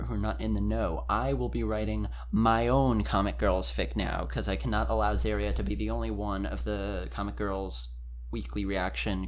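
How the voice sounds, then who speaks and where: A man hosting a dramatic fan fiction reading, with casual commentary. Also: lowish voice. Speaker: host and fan fiction reader.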